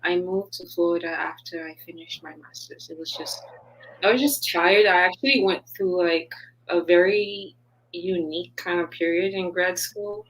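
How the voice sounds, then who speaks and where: Interview guest: woman from Haiti, low husky tone, earnest and chatty. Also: normal speed, poor audio. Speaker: guest, business founder. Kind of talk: casual interview chat.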